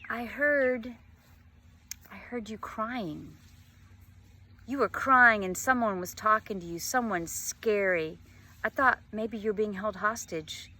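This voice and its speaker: Worried young girl, played by a female reader: hushed, hesitant, secretive, storybook dialogue. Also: medium pitch, slow pace. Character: anxious young girl narrator confronting her friend, worried, secretive.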